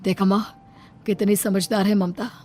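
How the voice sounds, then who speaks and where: A woman voicing a reproachful young husband in an animated moral story, speaking firmly to his mother. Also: mid-range voice, measured pace, natural voice.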